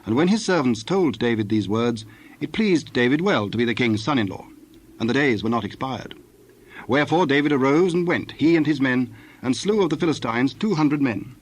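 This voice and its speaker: A man narrating a dramatized Bible reading in a measured, formal storytelling style. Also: mid-range voice, lively intonation.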